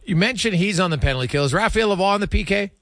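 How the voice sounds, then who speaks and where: Higher-pitched male radio interviewer, dry sound. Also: expressive, racing, fairly bright tone, dry recording.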